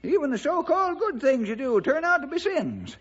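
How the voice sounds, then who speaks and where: An actor playing a feisty, folksy old-timer sidekick in a radio drama, with a strained, excitable voice. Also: male voice, extremely high pitch, a little fast, heavily acted. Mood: indignant. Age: older. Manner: excitable, folksy, rustic grammar. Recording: dull, band-limited old radio recording.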